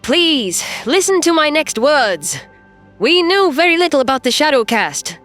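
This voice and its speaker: A woman as a high-voiced, diplomatic starship captain. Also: very animated, fairly bright tone, soft background music, full character voice. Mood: pleading, earnest. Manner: earnest, measured, persuasive. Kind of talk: audio drama character acting.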